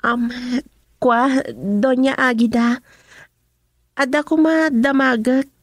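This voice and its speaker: High-voiced anxious young Filipino woman. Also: fairly even intonation, takes it slowly. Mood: uneasy. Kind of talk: radio drama acting.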